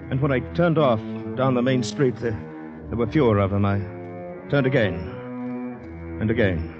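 A man's voice: mid-range voice, lightly acted. Actor as anxious, shell-shocked man, vintage radio. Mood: panicked, tense. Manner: intense, anxious, halting. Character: shell-shocked Canadian man, panicky, polite, narrating his ordeal. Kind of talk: 1950s radio drama acting, first-person narration. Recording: dull vintage radio recording, music behind.

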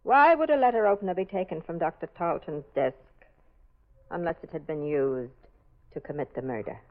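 A woman's voice: sits low, brisk. A woman acting a determined, suspicious amateur sleuth in a 1940s radio mystery, dramatic and insistent. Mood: pointed, suspicious. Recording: dull old radio broadcast recording.

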